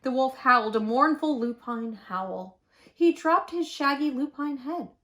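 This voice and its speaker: A woman reads a children's story aloud. Her voice is high and swings widely in pitch, and she leans on words with dramatic pauses. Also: expressive, fairly bright tone. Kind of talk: expressive children's picture-book read-aloud.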